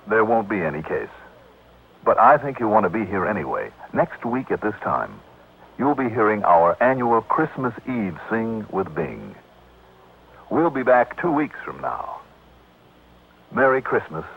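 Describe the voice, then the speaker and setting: An actor playing a brisk, sharp, self-assured American insurance investigator in vintage radio drama style. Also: male voice, fairly low pitch, vocal fry, husky, full character voice. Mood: warm, signing off. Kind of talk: 1960s American radio drama acting and first-person narration. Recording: tinny, noisy old radio broadcast.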